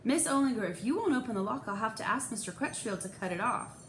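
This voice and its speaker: A woman's voice: on the high side, animated, quick pace, natural voice. A woman voicing a stern, commanding female school principal in a storybook, firm, formal and emphatic. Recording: echoey room, faint background noise.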